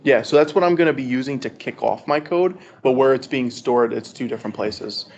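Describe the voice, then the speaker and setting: A man's voice: medium pitch, fairly quick, darkish tone. A man presenting an informal technical walkthrough to a study group, conversational and often checking in with "right?". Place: group meetup with video conference call. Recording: dull, lo-fi audio with background noise.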